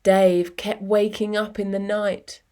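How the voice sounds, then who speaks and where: A woman with a medium-pitched voice reads a picture book to young children. She takes it very slowly, leaving dramatic pauses between short phrases. Her delivery is expressive and deliberate, in the manner of storytime. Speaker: storytime reader.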